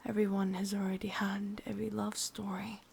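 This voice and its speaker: A woman with an English accent voicing an ancient, lonely, lovesick witch in a breathy, husky whisper for an ASMR roleplay. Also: medium pitch, level tone, a little mellow. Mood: melancholy, yearning. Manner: slow, brooding, intimate delivery.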